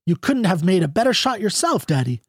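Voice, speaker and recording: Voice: mid-range voice, very animated, brisk, slightly rough, no echo, clean studio sound, pitched above the performer's own voice. A reader voicing a young ranch woman who sounds sweet, pleading and girlish. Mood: boastful.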